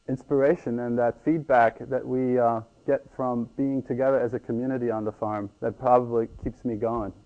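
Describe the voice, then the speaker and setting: A man from Ecuador giving a conference talk as a farmer, halting with many ums and restarts. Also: medium pitch, highs cut off.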